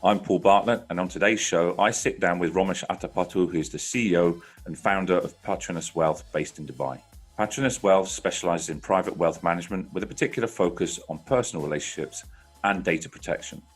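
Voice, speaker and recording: A man hosting a podcast, reading a scripted intro and then asking questions in a measured way. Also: low voice, soft background music. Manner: measured, brief backchannels. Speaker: podcast host.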